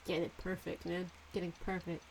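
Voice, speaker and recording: A woman's voice: lowish voice, monotone, brisk, a little hiss or hum, rough recording. A young woman streamer chatting casually and giggling while she plays a game, now and then talking to her cat. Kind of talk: casual gameplay commentary.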